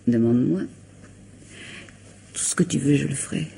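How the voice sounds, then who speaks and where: A woman's voice: very deep voice, normal speed, gravelly, warm tone, slight background noise, rough recording. An actress playing an infatuated married woman in a radio mystery, protesting hesitantly and giving way to her lover. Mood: swooning. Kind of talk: theatrical French radio mystery acting.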